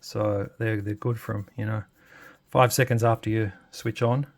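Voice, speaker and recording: A man giving a casual, unscripted hands-on walkthrough, haltingly thinking aloud as he points things out. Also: fairly low pitch, little pitch movement, creaky. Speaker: presenter showing his radio modifications. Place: indoors at an electronics workbench.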